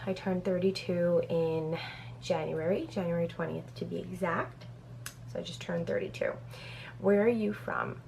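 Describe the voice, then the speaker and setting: Chatty gravelly-voiced woman in her thirties. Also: lowish voice, expressive, normal speed, darkish tone, slight background noise. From Brazil. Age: 30s. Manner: chatty, reads questions off a paper, many "um"s. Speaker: beauty vlogger. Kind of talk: casual get-to-know-me Q&A.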